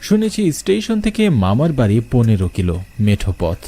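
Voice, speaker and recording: Young guy narrating a ghost story, warm dark voice, very animated delivery. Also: medium pitch, moderate pace. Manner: expressive storytelling, rising in questions. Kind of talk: first-person horror story narration.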